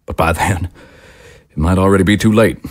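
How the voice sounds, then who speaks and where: An actor playing a fiery right-wing political commentator, delivering an indignant, emphatic broadcast monologue with dramatic pauses. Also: male voice, low-pitched, fairly even intonation, natural voice. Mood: indignant, urgent.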